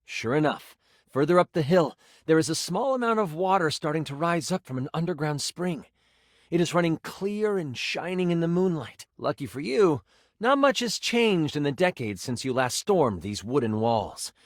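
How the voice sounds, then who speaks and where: A man voicing an earnest, excitable game master in a video game, narrating fantasy adventure dramatically with hesitant, casual asides. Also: on the high side, normal speed, no echo, studio quality, close to an everyday voice. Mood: hushed, immersive. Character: earnest, excitable, slightly flustered male game master character.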